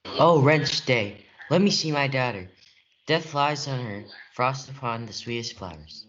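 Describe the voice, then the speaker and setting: A domineering nobleman and father with a short temper, acted by a young man in a stage-play reading. His voice is bright and forceful, on a slightly dull recording. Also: mid-range voice, measured pace, slight background noise, lightly acted. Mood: grieving.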